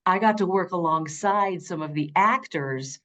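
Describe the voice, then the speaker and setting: Low-voiced middle-aged woman, alto storyteller. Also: unhurried, fairly bright tone. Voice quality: low alto, changed by vocal nodules. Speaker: guest, audiobook narrator. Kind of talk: conversational interview storytelling.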